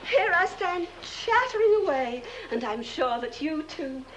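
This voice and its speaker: An actress playing a fussy, doting, eccentric older sister with a fluttery, genteel manner of chattering in a film. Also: female voice, high-pitched, very animated, measured pace. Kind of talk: theatrical horror-comedy film acting. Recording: noisy old film soundtrack.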